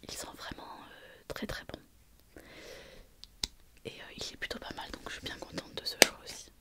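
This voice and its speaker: A woman with a head cold, softly whispering through an ASMR show-and-tell with many pauses and fillers. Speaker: ASMR creator.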